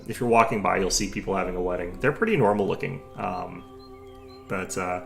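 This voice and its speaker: Gravelly-voiced streamer chatting with viewers. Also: male voice, low-pitched. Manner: rambling, many fillers and restarts. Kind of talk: casual chat with stream viewers.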